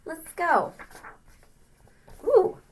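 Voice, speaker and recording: A woman teacher reading a picture book aloud to young children, with simple, expressive explanations in between. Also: very high-pitched, drawn-out words.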